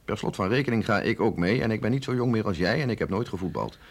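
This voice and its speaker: An actor playing a sceptical, authoritative, middle-aged male scientist in a radio drama, explaining in a measured, insistent way. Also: lowish voice, lively intonation, slightly rough. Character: sceptical, authoritative male scientist briefing an agent, no longer young. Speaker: scientist doctor.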